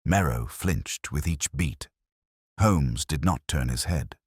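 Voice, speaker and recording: A man with an extremely low, husky voice narrates a mystery story. His delivery is measured and atmospheric, with lively swings in pitch. The sound is close and dry. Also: no room sound. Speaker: audiobook narrator. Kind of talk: dramatic scripted mystery narration.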